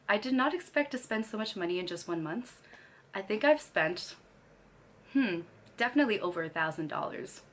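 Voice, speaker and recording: A woman's voice: mid-range voice, sing-song, moderate pace, long pauses, fairly bright tone. A young woman from Canada chatting casually to camera, laughing often between sentences, her throat still a little scratchy. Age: young adult. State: slightly scratchy throat after losing her voice. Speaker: vlogger.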